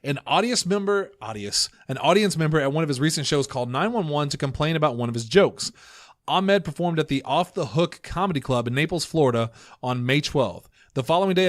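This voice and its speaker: एक आदमी जो पॉडकास्ट का सह-होस्ट है, मज़ाकिया और व्यंग्य भरे अंदाज़ में बातें करता है और अक्सर हँसता है। रिकॉर्डिंग सूखी और पास के माइक से है, गूँज नहीं।. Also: male voice, on the high side, no echo, clean studio sound. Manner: joking, sarcastic, laughs often. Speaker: podcast co-host. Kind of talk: casual podcast chat.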